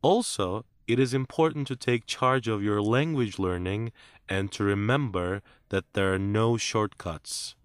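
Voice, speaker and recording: A man reading a scripted listening-test script in a measured, clear way, as narrator and interviewee. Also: lowish voice, no room sound, clean studio sound.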